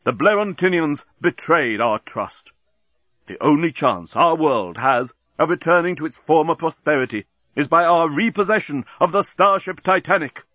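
A man's voice: on the high side, animated, moderate pace, a bit bright, dry recording, highs cut off, pitched above the performer's own voice. A man voicing a grandiose, earnest alien starship captain, declaiming and commanding in a storybook reading. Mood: grave, rousing.

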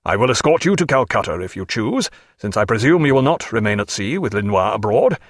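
A man voicing a tired, curt naval captain in an audiobook, speaking low and clipped. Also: very animated, a little fast, no room sound, clean studio sound. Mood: weary. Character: weary, curt middle-aged naval captain.

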